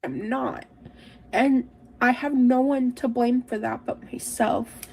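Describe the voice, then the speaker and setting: A woman streamer talking personally to camera in a halting, emotional, self-reproaching way. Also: a little high, gravelly, a little mellow, slight background noise. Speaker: streamer, in a clip being reacted to. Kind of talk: personal confessional talk to camera.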